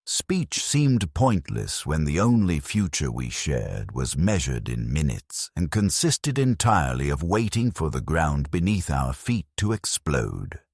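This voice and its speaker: A man giving a solemn, measured, scripted first-person narration of a dark dramatic story. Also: very deep voice, husky, no echo, studio quality.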